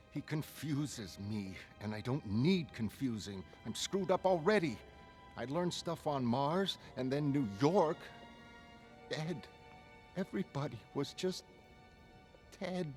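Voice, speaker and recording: A man voicing a shaken, blunt young woman in a motion comic, halting and emotional. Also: on the high side, darkish tone.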